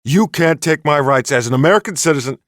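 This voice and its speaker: A man voicing an excitable, pushy male Bigfoot hunter who talks in a shrill, frantic, indignant way, in an audiobook. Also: quick pace, no echo, clean studio sound. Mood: exasperated.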